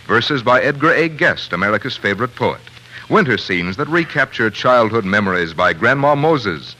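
Male 1940s radio pitchman: emphatic and polished, very expressive intonation, crisp forward tone, old slightly noisy broadcast. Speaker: commercial announcer. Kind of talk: scripted 1940s radio advertisement.

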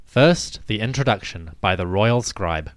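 A man hosting a history podcast, reading scripted narration in a measured way with theatrical emphasis. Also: on the low side, lively intonation, no room sound, clean studio sound.

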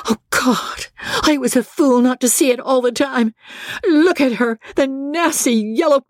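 A woman's voice: sits high, a little slow, no room sound, clean studio sound, pitched above the performer's own voice. A woman voicing a frantic, spiteful woman in an audiobook, ranting shrilly in a frenzy. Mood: frenzied rage.

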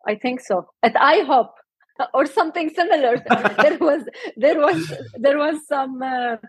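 A middle-aged woman from Lebanon, a health coach and guest, talking in a relaxed interview and laughing often. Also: sits high, unhurried, dry recording. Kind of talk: casual interview talk.

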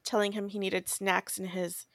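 A woman's voice: medium pitch, little pitch movement, normal speed, creaky, no echo. Earnest woman podcaster, very dry recording. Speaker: podcast co-host. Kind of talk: casual chat about books.

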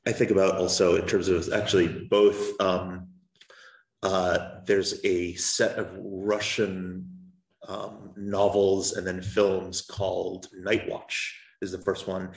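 A man's voice: low-pitched, little pitch movement, takes it slowly, creaky. A man on a discussion panel, talking in an earnest, considered way. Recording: echoey room, faint noise.

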